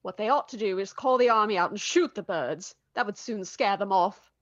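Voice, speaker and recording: An actress playing a practical but fretful country housewife in a vintage-style radio drama. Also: female voice, mid-range voice, normal speed, no echo, highs cut off, close to an everyday voice. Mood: brisk, dismissive.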